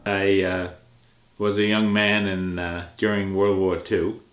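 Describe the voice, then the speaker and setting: An older man recalling his wartime years, halting with frequent pauses, recorded in a living room. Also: deep voice, flat delivery. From Brooklyn, New York. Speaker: interviewee. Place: indoor living room, on a sofa. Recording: roomy sound with clear background noise.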